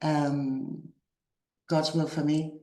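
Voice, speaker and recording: Slow, reflective woman, Spanish. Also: low voice, flat delivery, warm tone. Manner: slow, halting, reflective, with small laughs. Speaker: workshop teacher. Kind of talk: spiritual workshop talk. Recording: roomy echo.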